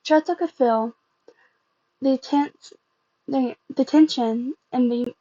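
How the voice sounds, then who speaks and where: A woman reading fan fiction aloud as an amateur, in a halting way, often stumbling and repeating phrases. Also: high voice, dark tone, no room sound, highs cut off.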